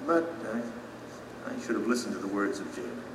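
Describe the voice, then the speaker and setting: Earnest, humble man acted, lively intonation, echoing. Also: on the low side, recorded from a distance, noisy background, close to an everyday voice. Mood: humble, regretful. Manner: earnest, confessional, thoughtful pauses. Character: humble, remorseful male citizen, gently earnest. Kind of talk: audio drama acting. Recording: echoey hall, music behind.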